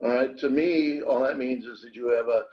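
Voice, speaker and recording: A man giving an explanatory technical talk as a product expert, with a halting, hesitant delivery. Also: medium pitch. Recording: dull, band-limited webinar audio.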